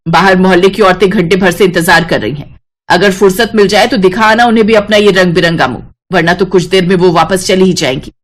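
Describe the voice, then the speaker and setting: A woman's voice: lowish voice, fairly quick, lightly acted. A woman voicing a bitter, domineering widowed mother-in-law in a cartoon story, taunting and threatening. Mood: scornful. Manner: taunting, threatening, sharp-tongued. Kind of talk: Hindi animated moral-story voice acting.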